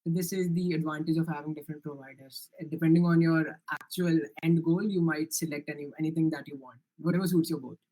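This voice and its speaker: A young man with an Indian accent presents his software project in a live online demo, answering questions earnestly with frequent restarts and fillers. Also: a little high, fairly even intonation, quick pace, hardly any pauses, rough recording. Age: young adult.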